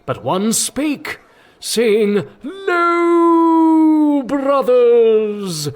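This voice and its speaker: A pompous male priest played by an actor in an audio comedy. His high voice rises and falls grandly as he draws out solemn, mock-biblical blessings at a crawl. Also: exaggerated voice. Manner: solemn, drawn-out, archaic preaching.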